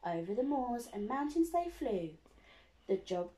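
Animated woman reading a picture book aloud. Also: fairly high pitch, measured pace. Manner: animated, dramatic storytelling. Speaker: primary school teacher. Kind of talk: expressive picture-book read-aloud for children. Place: indoors, living room by a window.

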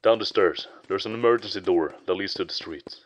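A man's voice: sits low, a little fast, dull audio, lightly acted. A man acting in an audio roleplay as a loyal spy handler, clipped, matter-of-fact and dryly wry. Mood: focused, clipped.

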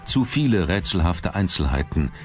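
A man's voice: sits low, very animated, moderate pace. A man reading scripted narration for a documentary as its narrator. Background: background music.

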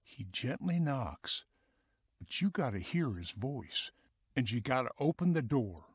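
Fatherly Southern mentor, slow husky drawl, dry sound. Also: medium pitch, very animated, no room sound. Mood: earnest. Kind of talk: radio drama acting.

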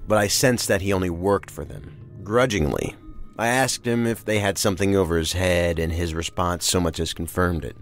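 Male career agent, very deep, weary, wry, guarded, audio drama, light music underneath. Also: moderate pace. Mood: defensive.

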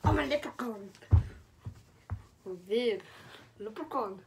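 A woman's voice: on the high side, takes it slowly, very noisy. A young girl chanting and talking playfully while dancing and jumping around her bedroom, recorded on a phone on the floor. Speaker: performer of a homemade dance video. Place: bedroom at home.

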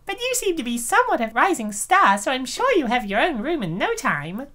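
A woman voicing a chirpy, bossy female photo shoot manager who gives quick, perky commands. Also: high-pitched, big pitch swings, a bit bright, pitched above the performer's own voice, exaggerated voice. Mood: briefing.

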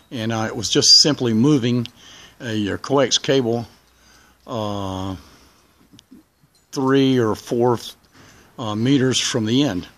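A man's voice: medium pitch, sharp tone. A man giving an informal, rambling vlog narration outdoors, speaking from behind a handheld camera. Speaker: hobby radio video maker. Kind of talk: casual vlog narration while filming. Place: outdoors in a backyard.

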